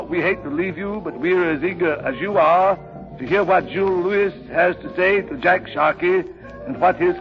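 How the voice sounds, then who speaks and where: Male vintage radio show announcer: high voice, ornate formal script delivery, dull lo-fi broadcast with music behind.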